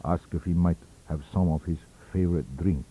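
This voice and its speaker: A man voicing a grave, deferential college steward with a measured, formal manner in a story reading. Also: low-pitched, dark tone, slightly rough, rough recording. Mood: discreet, grave.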